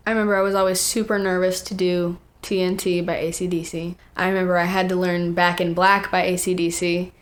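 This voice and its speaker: A teenage young woman chatting casually, telling stories in an animated way with acted-out quotes and plenty of "like". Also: lowish voice, fairly quick, hardly any pauses. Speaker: young singer and songwriter, interview guest. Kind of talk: casual chat, storytelling.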